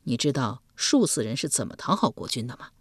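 Cold scheming ancient man, lowered gruff voice, a woman narrator puts him on. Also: sing-song, brisk, dry recording, studio quality, heavily acted. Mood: coaxing. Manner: gruff, scornful and coaxing by turns.

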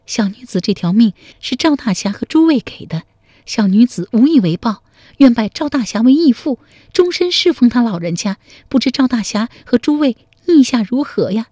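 A woman voicing a timid but quick-witted young woman in a storytelling radio serial, pleading in a soft, lilting way. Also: on the high side, very animated, no echo, clean studio sound, pitched above the performer's own voice. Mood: earnest pleading.

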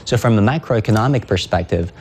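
A man anchoring a news programme, introducing guests and asking questions in a measured, formal manner. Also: lowish voice, lively intonation, darkish tone, breathy edge. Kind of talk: studio news interview.

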